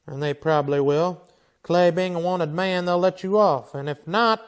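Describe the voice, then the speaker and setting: A steady Old West marshal played by a man in a western radio play, with a high voice for a man. He is blunt, commanding and folksy, with a dry wit under the sternness. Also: normal speed, smooth, close to an everyday voice. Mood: stern. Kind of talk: old-time western radio drama acting.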